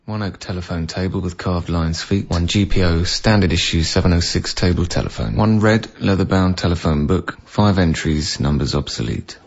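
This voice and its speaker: Low-voiced, earnest young official. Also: male voice, moderate pace, few pauses, muffled recording. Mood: flat, listing. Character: earnest, patient, conscientious young council clearance officer. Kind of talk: naturalistic radio drama acting.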